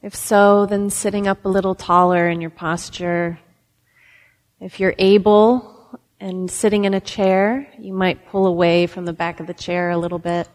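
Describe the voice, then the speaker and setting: A woman meditation teacher leading a guided meditation, giving gentle instructions with long pauses. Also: mid-range voice, little pitch movement. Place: meditation center hall. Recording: reverberant hall.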